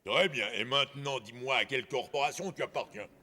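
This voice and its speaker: An actor voicing a pompous, indignant royal magistrate in a French cartoon dub, gravelly and husky, with a haughty declamatory manner. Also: male voice, mid-range voice, fairly quick, sharp tone. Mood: officious. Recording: long hall reverb.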